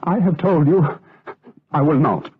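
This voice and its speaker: An actor playing a lustful, guilt-tormented monk in a gothic radio drama, with breathy, theatrical, impassioned delivery. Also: male voice, on the high side, lively intonation, unhurried, noisy background. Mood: anguished. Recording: tinny, phone-like radio drama recording.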